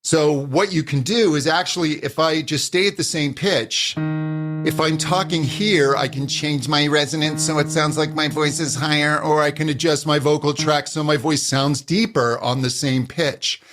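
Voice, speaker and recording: A man who coaches voice users, answering interview questions and explaining at an uneven, animated pace. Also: fairly high pitch, moderate pace, soft background music. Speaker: voice coach, guest.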